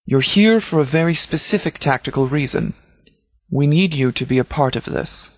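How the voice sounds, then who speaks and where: A man playing a stern, composed sheriff in a video game, speaking in a measured, formal, authoritative way. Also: fairly high pitch, animated, fairly bright tone, no echo, studio quality. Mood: businesslike briefing. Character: stern, composed male sheriff leading a post-apocalyptic militia. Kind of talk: scripted video game dialogue.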